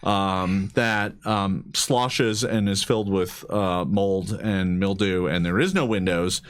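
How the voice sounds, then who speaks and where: A man narrating a tabletop game as game master, improvising haltingly and often repeating his words. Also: deep voice, steady tone, smooth.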